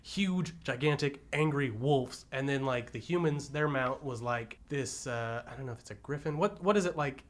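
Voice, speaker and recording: Male podcast co-host, mid-pitched voice, casual and opinionated film-review chat in everyday slangy wording. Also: moderate pace, few pauses.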